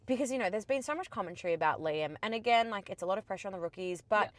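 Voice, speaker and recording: A woman's voice: medium pitch, lively intonation, rapid-fire, no echo. A young woman co-hosting a podcast, chatting casually and expressively, with many "like" fillers. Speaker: podcast co-host.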